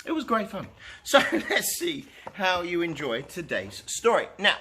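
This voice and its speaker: A man hosting storytime, reading aloud to children in an animated storytelling style. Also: high voice, a little slow, a little hiss or hum.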